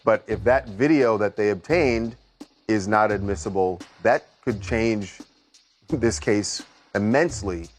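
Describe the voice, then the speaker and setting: Lowish voiced guy chatting on a news panel, unscripted, bit of music behind. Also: a little slow.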